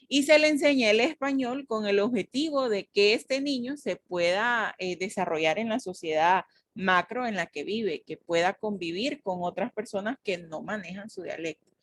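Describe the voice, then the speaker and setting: Una mujer con acento hondureño que, como docente universitaria, explica y corrige con calma a sus estudiantes. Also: female voice, medium pitch, dry recording. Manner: explanatory, corrects and clarifies. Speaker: teacher. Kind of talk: university class discussion.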